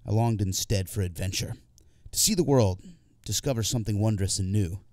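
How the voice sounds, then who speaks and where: A man narrates a literary audiobook in the first person. His voice sits on the low side and is soft-edged, dark and relaxed. The sound is very dry, with no room around it. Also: brisk, no room sound.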